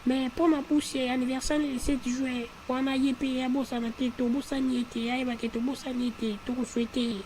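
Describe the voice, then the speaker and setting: A woman's voice: high-pitched, level tone, normal speed, few pauses, darkish tone, close to an everyday voice. A woman voicing an earnest, insistent cartoon character in conversational dialogue, often repeating herself. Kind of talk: conversational cartoon dialogue in Lingala mixed with French. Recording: noisy background hum.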